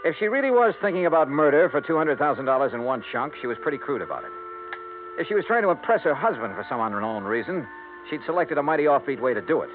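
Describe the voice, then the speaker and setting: An actor playing a wry, hard-boiled insurance investigator who narrates dryly and sardonically in 1950s radio noir style. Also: male voice, a little high, very animated, fast talker, background noise. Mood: wry, brooding. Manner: dry, sardonic, clipped. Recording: old radio broadcast, tinny, music behind.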